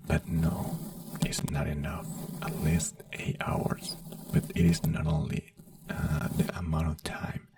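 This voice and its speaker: A man doing a soothing ASMR doctor roleplay in a slow whisper, with frequent fillers and phrasing. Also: a bass voice, gravelly, no room sound, recorded from a distance.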